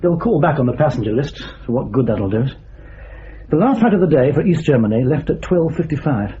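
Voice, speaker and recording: Male junior intelligence officer, acted, deferential and clipped, soft warm timbre, animated pitch, faintly noisy dull recording. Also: fairly quick, natural voice. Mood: concerned. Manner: polite, efficient, clipped replies. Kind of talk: radio drama acting. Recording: muffled, slightly noisy radio drama recording.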